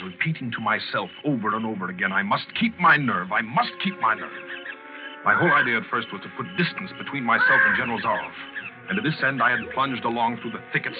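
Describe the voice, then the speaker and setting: Male dramatic story reader; medium pitch, dull lo-fi recording, prominent music bed. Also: fast talker. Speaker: reader of a first-person short story. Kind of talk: dramatic first-person story narration. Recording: dull lo-fi radio sound, loud music. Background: loud music.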